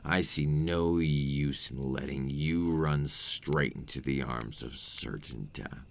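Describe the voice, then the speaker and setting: A man's voice: very deep voice, slow, vocal fry, a little hiss or hum. A man playing a possessive, remorseful vampire captor in an intimate ASMR roleplay monologue, speaking in formal, old-fashioned phrases.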